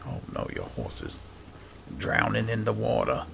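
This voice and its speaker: A man's voice: lowish voice, huge pitch swings, a little slow, over music. A man doing improvised in-character roleplay commentary over a video game, muttering as he talks to himself. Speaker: gaming channel host. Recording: clear background game noise.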